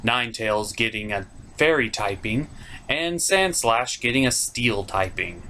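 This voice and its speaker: Animated man hosting a countdown, widely swinging pitch. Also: a little slow, a little hiss or hum. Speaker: host of a top ten list show. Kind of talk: casual opinionated countdown commentary.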